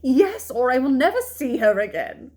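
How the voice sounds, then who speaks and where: A woman's voice: high voice, big pitch swings, normal speed, a little mellow, voice pushed up. A woman voicing a flustered, melodramatic middle-aged mother from a classic novel, insistent and full of exclamations. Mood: indignant.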